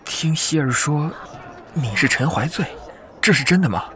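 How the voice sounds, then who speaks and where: A man playing a well-meaning elder brother-in-law in a Chinese costume audio drama, speaking earnestly and a little haltingly. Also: on the high side, animated, pushed and bright. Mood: earnest, hesitant.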